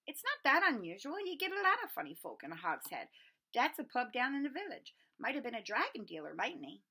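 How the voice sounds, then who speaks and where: A woman plays a big, friendly gamekeeper from a children's storybook. He rambles in a casual, unguarded way, with a bright, bouncy delivery that rises and falls freely. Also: on the high side, huge pitch swings, fairly quick, husky, voice pushed up, full character voice. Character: big easygoing male gamekeeper, chatty and unguarded.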